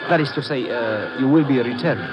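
An actor playing a polite, hesitant railway ticket clerk in an old radio drama. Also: male voice, mid-range voice, expressive, a little fast, dull audio, close to an everyday voice. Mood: flustered, hesitant. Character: fussy, flustered male railway ticket clerk. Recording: vintage radio recording with music behind.

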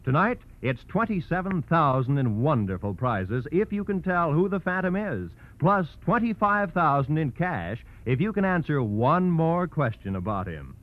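A man's voice: a little high, sing-song, normal speed, few pauses. An American man announcing on 1940s radio, reading scripted credits and promotions with polished, formal showmanship. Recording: dull vintage radio recording.

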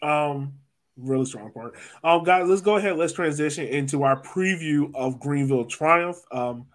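A man's voice: on the high side, normal speed. A man from Virginia with an American accent, hosting a casual soccer podcast in an informal, slangy way. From Virginia, United States. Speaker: sports podcast host.

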